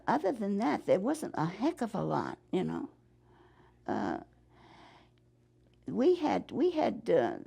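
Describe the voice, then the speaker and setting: A woman in her nineties with a New England accent, recalling her past in an interview, halting and rambling with many restarts. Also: on the low side, creaky, mellow, breathy edge. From Massachusetts. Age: 90s. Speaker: interviewee, war veteran. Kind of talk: oral history interview.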